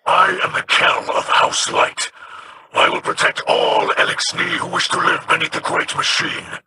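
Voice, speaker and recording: An actor playing a stern, protective alien pirate lord in a video game, speaking in a solemn, formal way. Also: male voice, high voice, wildly swooping pitch, unhurried, creaky, far from the mic, very noisy, heavily acted. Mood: proud.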